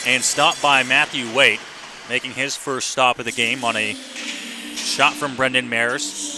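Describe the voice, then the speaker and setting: A man's voice: medium pitch, fairly even intonation, a little slow. A man doing live radio play-by-play commentary of an ice hockey game as the announcer. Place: indoor hockey arena. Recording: noisy live arena radio broadcast.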